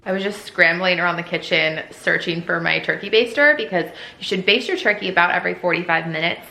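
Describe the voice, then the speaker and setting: A woman's voice: lowish voice, fast, creaky, over music. A woman vlogging casually while she cooks in her home kitchen, chatting with lots of fillers. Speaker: homemaking vlogger. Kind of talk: casual cooking vlog chat. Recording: echoey kitchen, faint room noise.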